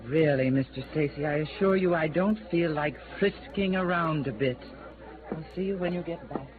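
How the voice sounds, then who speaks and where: A woman's voice: low voice, moderate pace, narrow, tinny audio, a crowd in the background, natural voice. An actress playing a prim, starchy lady traveler, formal and proper in 1950s radio drama style. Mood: stiff, dismissive. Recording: thin old radio, background bustle.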